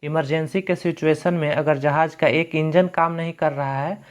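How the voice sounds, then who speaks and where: A man's voice: fairly high pitch, steady tone, normal speed. A man. He narrates like a tutor in an explainer video, using simple colloquial wording and going through things step by step. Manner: plain, step-by-step teaching.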